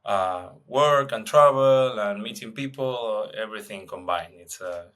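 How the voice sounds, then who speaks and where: Hesitant Argentine-born man, low voice. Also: a little slow, a bit bright. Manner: hesitant, many fillers and restarts. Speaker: guest, venture investor. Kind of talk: casual interview answers.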